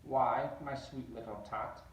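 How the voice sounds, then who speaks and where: A man's voice: mid-range voice, steady tone, slow, lightly acted. A man voicing the Grinch, a sly, grumpy green creature who schemes with glee. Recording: roomy echo, distant mic.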